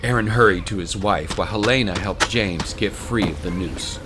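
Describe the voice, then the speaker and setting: A man's voice: sits low, normal speed. A man narrating scripted dramatic fiction as a storyteller. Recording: music bed under the voice. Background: music.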